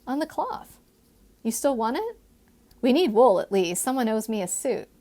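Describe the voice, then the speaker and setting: A woman plays a scheming young gentleman from a historical romance novel. He is playful and persuasive, speaking in formal phrases with lively pitch and a slight creak. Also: moderate pace. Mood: teasing, persuasive.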